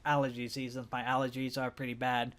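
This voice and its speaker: A young man with a hoarse voice and a stuffed-up nose, rambling hesitantly in a casual vlog for his gaming channel. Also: medium pitch, completely flat delivery, fairly quick, a bit bright. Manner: rambling, hesitant, self-conscious.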